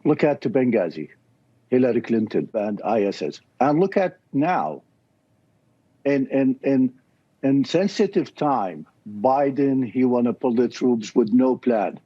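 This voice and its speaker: A man's voice: mid-range voice, expressive, a little slow, slightly rough. A man with an Iraqi accent, speaking earnestly and passionately as an interview guest in simple, broken phrasing. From Iraq. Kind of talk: video interview. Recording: dull lo-fi recording.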